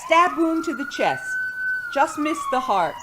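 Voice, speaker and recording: A woman acting a female paramedic who reports in brisk, clipped emergency jargon. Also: very high voice, wildly swooping pitch, heavily acted. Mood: urgent. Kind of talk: amateur radio drama acting. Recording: loud siren noise behind. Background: sirens.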